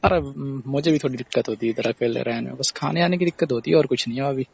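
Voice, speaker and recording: A man's voice: medium pitch, quick pace, lightly acted. Actor as reassuring male friend, noisy recording. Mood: friendly, caring. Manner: reassuring, colloquial, with repeated questioning tags. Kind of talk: casual phone-call role-play. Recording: clear background noise on recording.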